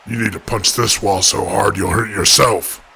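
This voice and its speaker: Deep gravelly raptor fighter, played by a man. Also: steady tone, moderate pace, bright, forceful tone, heavily acted. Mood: taunting. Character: hulking male raptor fighter, formal and courteous.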